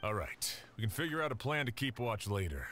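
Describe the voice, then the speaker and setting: An actor playing a steady lawman who commands guards, calm and decisive. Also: medium pitch, brisk, a little hiss or hum. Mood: firm.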